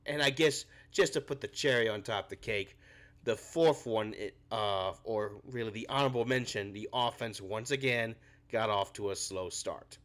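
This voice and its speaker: A man's voice: lowish voice, normal speed, fairly bright tone. A young man with a cold hosts a postgame reaction show, his voice a bit scratchy. He rants in frustration and his voice climbs when he gets worked up. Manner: frustrated ranting, rising when angry. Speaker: podcast host.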